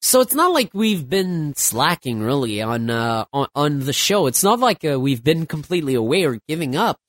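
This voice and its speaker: A man's voice: on the high side, sing-song, normal speed, no room sound, clean studio sound. A man in his thirties hosting his own podcast alone late at night. He is stuffed up from a cold and rambles loosely, with many restarts and fillers. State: stuffed up, getting over a cold. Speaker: podcast host.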